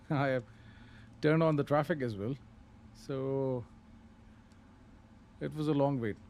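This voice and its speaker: A man casually talking through a live flight simulator session, relaxed and unhurried, thinking aloud with pauses. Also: mid-range voice, slight background noise. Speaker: flight sim streamer. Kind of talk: casual live-stream commentary.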